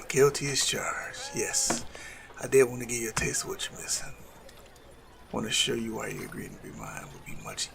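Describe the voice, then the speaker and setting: A man playing a suave, cunning assassin in love, speaking softly and formally in a romantic audio roleplay with a gravelly creak. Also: medium pitch. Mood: playful. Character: lovestruck male assassin, suave, cunning, tenderly courting a noble. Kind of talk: romantic audio roleplay acting addressed to the listener.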